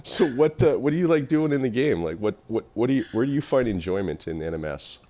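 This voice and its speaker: A man hosting a casual interview, effusive and quick to giggle, with many ums. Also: mid-range voice, very animated. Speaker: podcast host.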